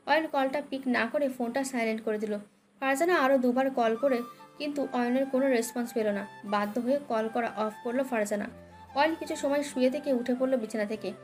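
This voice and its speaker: A woman's voice: high-pitched, brisk. A woman narrating a dramatic romance story as a scripted audio tale. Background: background music.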